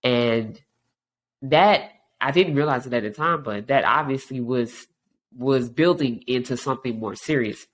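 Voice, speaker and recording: A woman's voice: a bass voice, a little slow. Stuffy-voiced woman hosting a podcast. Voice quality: stuffy, congested. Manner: frank, conversational, many restarts. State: allergies. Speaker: podcast host. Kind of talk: casual podcast monologue.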